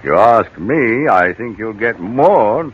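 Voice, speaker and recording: A man's voice: on the low side, animated, takes it slowly, slightly rough, full character voice. A man playing a sly, teasing rustic handyman, on an old radio recording. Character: sly rustic male handyman, middle-aged. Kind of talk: radio comedy acting. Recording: dull lo-fi vintage radio recording.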